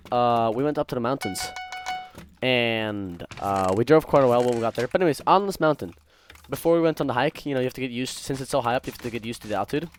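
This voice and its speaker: A young man casually telling stories while gaming, rambling and interrupting himself in a distracted way. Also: medium pitch. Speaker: gaming content creator. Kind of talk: casual storytelling while playing a game. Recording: faint keyboard typing and clicking noise. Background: computer keyboard typing and clicks.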